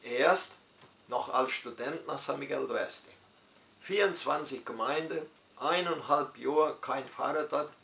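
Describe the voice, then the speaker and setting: Older male pastor, Hunsrückisch German accent, gravelly voice, reverberant room. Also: medium pitch, pushed and bright. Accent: Hunsrückisch German of southern Brazil. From southern Brazil. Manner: unhurried storytelling with dialect forms and hesitations. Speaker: retired pastor interviewee. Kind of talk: personal life story in interview.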